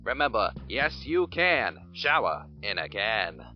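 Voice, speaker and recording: A man's voice: lowish voice, very animated, takes it slowly. A man playing a hammy announcer in a mock radio commercial within an audio drama. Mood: salesy. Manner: hammy, salesy, showy rises. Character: overblown male commercial announcer. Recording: lo-fi recording with guitar music.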